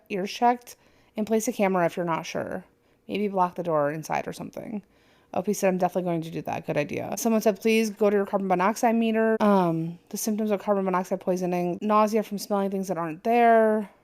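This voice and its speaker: Woman podcaster reading a reddit story out loud, chatty, trips over words sometimes. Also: medium pitch, brisk. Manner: reads aloud with asides and stumbles. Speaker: podcast co-host. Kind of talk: casual podcast chat, reading a forum post aloud.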